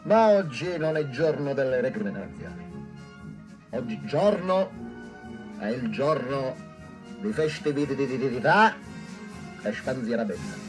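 An actor playing a pompous, bombastic small-town official, orating grandly in a satirical radio drama with mangled flowery rhetoric. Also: male voice, mid-range voice, animated, takes it slowly, long pauses, over music, rough recording. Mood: pompous, self-satisfied.

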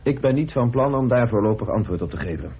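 A methodical detective confronting his suspects in a radio drama. His very husky voice moves freely in pitch and presses on insistently with deliberate pauses, on a muffled, phone-like old recording. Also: animated. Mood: challenging, insistent. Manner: deliberate, accusing, theatrical pauses. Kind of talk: radio play acting in Dutch.

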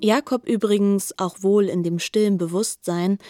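Female literary narrator, soft dark timbre, formal inquiring delivery, faint music bed. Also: mid-range voice, fairly even intonation, normal speed, no room sound, studio quality.

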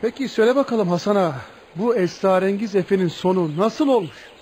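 An actor in a radio play voicing a curious, approving gentleman who prompts a storyteller with short questions and exclamations. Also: high voice, brisk, lightly acted. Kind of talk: radio theatre acting. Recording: noisy radio drama recording.

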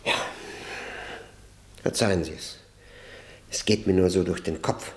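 An actor playing a grave, quietly insistent accuser in a radio play, measured, ironic and politely persistent. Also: male voice, low-pitched, steady tone. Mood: bitter irony. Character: grave, probing, quietly insistent accuser doubting the justice system. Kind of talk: German radio play acting, 1960.

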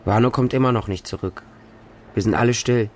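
A man's voice: medium pitch, brisk, a little mellow, a little hiss or hum, natural voice. An actor narrating a radio drama as a bleak, hardened homeless Russian street boy, in short, stark sentences. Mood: desolate. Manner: short, plain, stark present-tense sentences.

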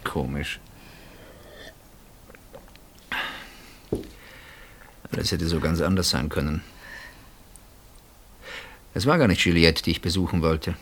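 A male actor playing a middle-aged husband in a radio play, wryly reflective and brooding, speaking with hesitant pauses and deliberate phrasing. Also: low-pitched. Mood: wry, reminiscing. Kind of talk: radio play acting, intimate dialogue.

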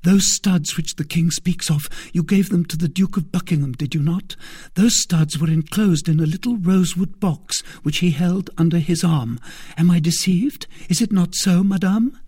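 A man's voice: high-pitched, normal speed, mellow, slightly rough, no echo, studio quality, voice pushed up. A man doing an earnest, devoted young maid. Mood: fervent. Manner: fervent, earnest, at times whispered. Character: devoted young lady-in-waiting, earnest and loyal.

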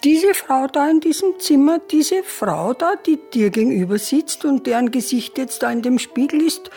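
An actress playing a confused elderly woman in a care home, musing slowly in a radio play. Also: high-pitched, lively intonation, dark tone, soft background music. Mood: bewildered. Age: older. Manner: slow, musing, drifting into whispers.

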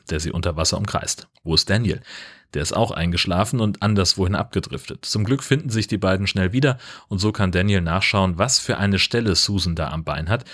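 A man's voice: low voice, a bit bright. A man, a podcast host reading a scripted recap of a film.

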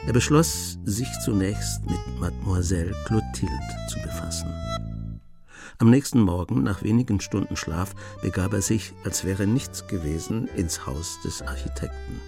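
A man narrating a scripted radio drama in a measured storytelling manner. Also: sits low, creaky, over music.

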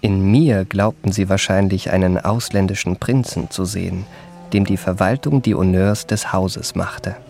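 A low, creaky-voiced man narrates as a cultivated confidence trickster in a literary radio drama. His phrasing is ornate and deliberate, savouring long sentences. Soft music sits behind him. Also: fairly even intonation. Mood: self-satisfied, reflective. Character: cultivated male confidence man recounting his youthful adventures.

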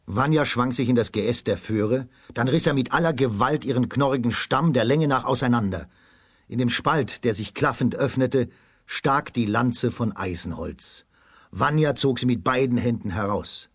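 A man with a husky voice narrating a children's story with dramatic emphasis. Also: medium pitch, normal speed, dry recording, studio quality. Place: dry studio.

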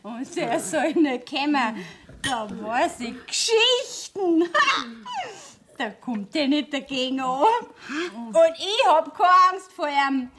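A woman's voice: high voice, animated, takes it slowly, noisy background. An actress playing a brazen, sly, gossipy working girl at an inn, cheeky and earthy in Bavarian dialect, in a radio play. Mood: cheeky, boastful. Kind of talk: 1970s German radio play acting in dialect. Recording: studio radio play with faint music.

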